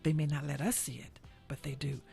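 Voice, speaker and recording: A woman's voice: sits low, level tone, a little fast, vocal fry, mellow, faint music behind. Enthusiastic woman hosting a gospel talk show. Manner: enthusiastic, affirming, repeats phrases. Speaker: host.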